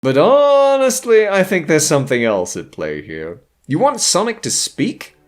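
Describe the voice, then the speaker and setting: A man's voice: sits high, huge pitch swings, a little slow. A man narrating a scripted, humorous video essay in a wordy, theatrical and sarcastic way. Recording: music behind the voice. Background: background music.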